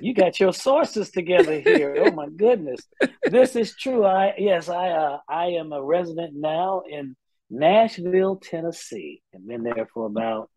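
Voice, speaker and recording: A middle-aged man from Indianapolis, an R&B singer answering interview questions in a relaxed way, with many "uh" pauses. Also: a little high, poor audio. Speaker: R&B singer, guest. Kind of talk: casual interview chat.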